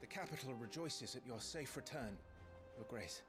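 A man's voice: medium pitch, animated, moderate pace, a bit bright, faint music behind, lightly acted. A man playing a dutiful, protective elder son of a noble house in a fantasy video game, earnest and respectful. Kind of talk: fantasy game cutscene acting.

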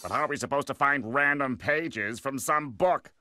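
A man's voice: mid-range voice, moderate pace, background noise, close to an everyday voice. Man as a sour snobbish cartoon squid. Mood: sardonic. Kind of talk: video game cartoon acting.

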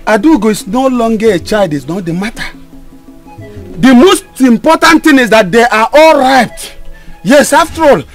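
A man's voice: very high-pitched, animated, normal speed, soft background music. A man playing a domineering, abusive father. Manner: domineering, shouting.

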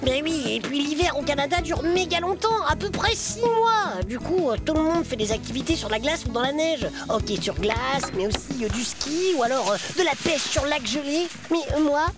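A woman's voice: high-pitched, expressive, moderate pace, few pauses. A woman doing a bubbly Belgian teddy bear boy. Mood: excited. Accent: Belgian French. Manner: enthusiastic, slangy, exclaiming. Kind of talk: children's puppet show. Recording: music behind the voice.

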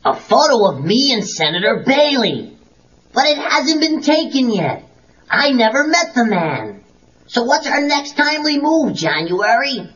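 A man's voice: very high voice, sing-song, unhurried, fairly bright tone, full character voice. An actor playing an easygoing, wisecracking teenage boy hero in a comic-book audio drama, with an exaggerated drawl. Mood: wry. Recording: dull cassette, music behind.

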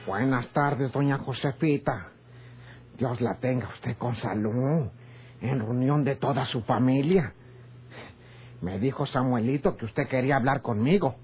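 An actor with a northern Mexican accent playing a sly, quarrelsome, rustic ranch hand in a radio drama, his voice husky. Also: medium pitch, measured pace, soft background music. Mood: falsely meek. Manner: rustic, colloquial. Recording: muffled old radio serial recording.

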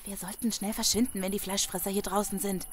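An actress playing a level-headed, wary survivor in a German zombie audio drama. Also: female voice, medium pitch, flat delivery, fast talker, slight background noise, lightly acted.

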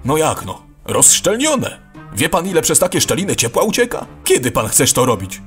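Radio play neighbour: highish, swooping delivery, emphatic, exasperated yet helpful explainer. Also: male voice, sing-song, normal speed, a bit bright, soft background music. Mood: impatient. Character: patient but exasperated tidy neighbour, persuasive and practical. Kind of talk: scripted radio play dialogue.

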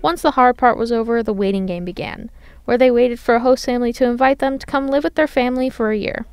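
A teenage girl reads a news feature in a smooth, fairly high voice. The sound is close and dry, with no room around it. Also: quick pace, a little mellow, dry recording. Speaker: student reporter. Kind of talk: scripted news voice-over.

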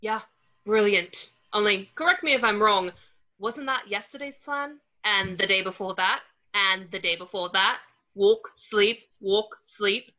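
Woman reading a grumbly sarcastic teen wizard, bright edgy voice, lo-fi video call sound. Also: medium pitch, a little slow. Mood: irritated, sarcastic. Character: sulky, hungry, sarcastic teenage wizard boy. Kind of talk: table read of a film script. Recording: dull lo-fi video call audio.

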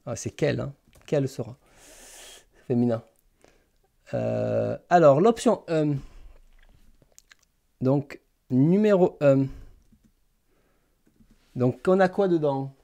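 A man's voice: medium pitch, slow, creaky, pauses often, dark tone. A man hosting a casual live stream, hesitantly thinking aloud as he types. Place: small indoor room lined with bookshelves.

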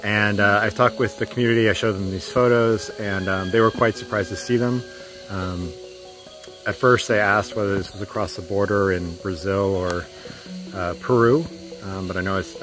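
Low-voiced man filing a halting field report. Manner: halting, many fillers, matter-of-fact. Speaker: conservation journalist. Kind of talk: on-location field report to camera. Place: outdoors in flooded rainforest. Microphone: handheld phone selfie framing.

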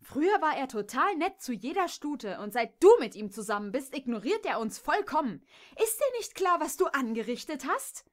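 A woman's voice: high voice, big pitch swings, normal speed, voice pushed up. A woman voicing a spiteful young mare student who speaks bitterly and accusingly.

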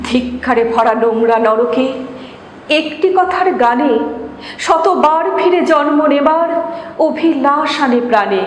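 A woman reciting poetry in a dramatic, measured manner with drawn-out pauses. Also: high voice. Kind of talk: expressive Bengali poetry recitation. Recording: reverberant echo, noticeable background hiss.

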